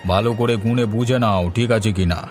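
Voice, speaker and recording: Guy doing a blunt haggling buyer at a village market, cartoon, lowish voice, music behind. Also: steady tone, normal speed, close to an everyday voice. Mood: businesslike. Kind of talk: cartoon voice acting.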